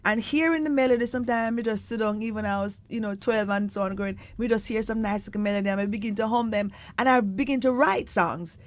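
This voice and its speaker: A woman's voice: a little high, fast, few pauses. Jamaican woman singer mixing patois in chat. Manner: animated storytelling, mixing patois with standard English, stretching words. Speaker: singer and poet, interview guest. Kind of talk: relaxed conversational interview.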